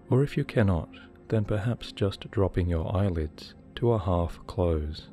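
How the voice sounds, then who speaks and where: Deep, slow, mellow male hypnosis guide. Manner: soothing, measured, deliberate pauses. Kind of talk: guided meditation, hypnosis narration. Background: relaxation music.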